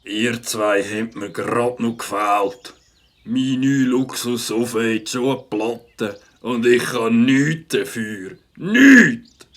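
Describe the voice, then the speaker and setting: A man's voice: mid-range voice, slow pace, fairly bright tone. A man playing a grumpy, blustering moped tinkerer in a children's audio drama. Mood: exasperated.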